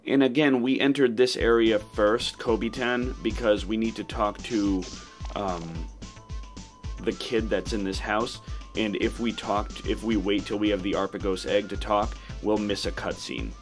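A man's voice: lowish voice, steady tone, a little slow. A man hosting a video game walkthrough in a casual, explanatory commentary style. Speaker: gamer, walkthrough host. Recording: voice-over with game music behind.

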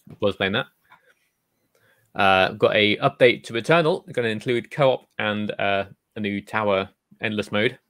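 A man's voice: lowish voice, moderate pace, fairly bright tone, dry recording. A young man running through gaming news on a podcast, upbeat and often laughing. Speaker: podcast co-host, news reader. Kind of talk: casual chat.

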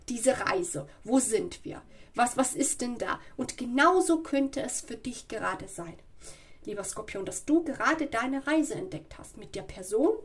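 A woman with a slight cold giving a spoken tarot reading at home, explaining slowly and often checking in with "ja" and "okay". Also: on the high side, breathy edge. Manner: slow, searching and explanatory, with frequent "ja" and "okay". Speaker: tarot reader. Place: home room with a tarot table.

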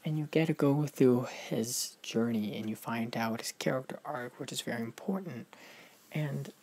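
A man talks through a film review in a loose, casual vlog style, full of praise. His delivery is emphatic and gushing, and he often stops and restarts mid-sentence as he hunts for words. His voice sits at a middling pitch. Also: normal speed, darkish tone, breathy edge.

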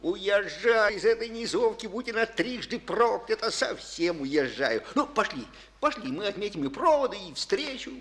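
A man's voice: high-pitched, lively intonation, moderate pace, a bit bright. An actor playing a round little male office manager, ingratiating and fussy, in an old radio play. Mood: fawning. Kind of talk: Soviet radio play acting. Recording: old studio radio recording.

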